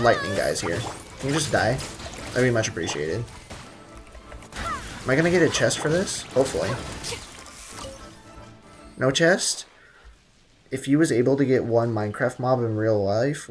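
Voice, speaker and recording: Guy streaming a game, slangy jokey rambling to his chat, avg pitch, bit of music in the back. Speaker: live streamer. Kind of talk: casual gaming stream chatter with viewers.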